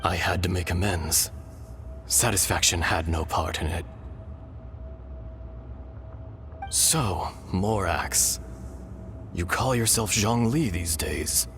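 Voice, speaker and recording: A man voicing the calm, weary, benevolent spirit of an ancient dragon in a video game, speaking in a solemn, measured, poetic way. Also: sits low. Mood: wistful, weary. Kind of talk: dramatic video game voice acting. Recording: loud game music under the voice.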